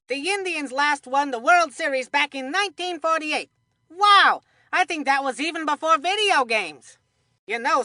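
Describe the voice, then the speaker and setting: Chatty folksy cartoon sports commentator, pretty high and bright, close dry sound, kids game trivia stuff. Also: female voice, dry recording.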